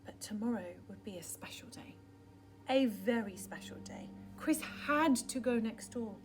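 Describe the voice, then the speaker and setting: A woman reading a picture book aloud for young children, dramatic and expressive, often dropping into a hushed, breathy tone. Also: on the high side, measured pace.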